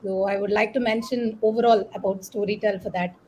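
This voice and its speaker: A woman's voice: mid-range voice, fairly even intonation, fairly quick, a little mellow, slight background noise, low-quality recording. A woman with an Indian accent recounting her work as a guest in a panel discussion. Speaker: audio drama director, guest.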